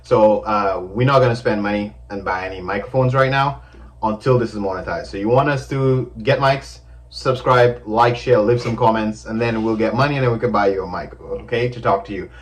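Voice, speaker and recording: A man with a Caribbean accent talks loudly in a casual chat and teases his co-host, laughing often. His voice sits on the low side. He is recorded from some distance away from the mic. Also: fairly quick. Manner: loud, teasing, laughs often.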